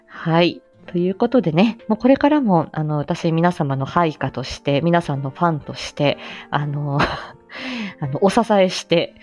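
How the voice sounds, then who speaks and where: Woman livestreamer chatting casually, lowish voice, humble n gushy but playfully feisty, soft music behind. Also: expressive, a little slow. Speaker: livestream host, amateur voice actor.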